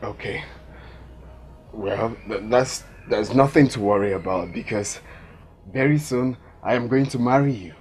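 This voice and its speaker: An actor playing a devout, excitable young son, speaking expressively with a Nigerian Igbo accent and repeating words for emphasis. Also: male voice, medium pitch, unhurried, a little hiss or hum, close to an everyday voice. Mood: tender, uneasy. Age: young adult. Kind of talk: emotional film drama acting.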